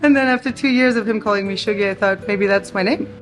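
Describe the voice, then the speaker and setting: A woman born in France chats about her life as a DJ and singer, relaxed and quick to laugh. Her voice sits in an ordinary middle pitch. Clear guitar music plays behind her. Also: poor audio. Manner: easygoing, chatty, laughs often. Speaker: DJ and singer being interviewed. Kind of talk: casual chat.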